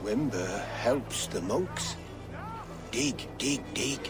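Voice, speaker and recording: Slow, simple-minded gravedigger. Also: male voice, mid-range voice, very animated, music in the background. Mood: earnest, simple. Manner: halting, repetitive, childlike phrasing. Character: simple-minded childlike gravedigger man, gentle and grieving. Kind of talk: video game character acting.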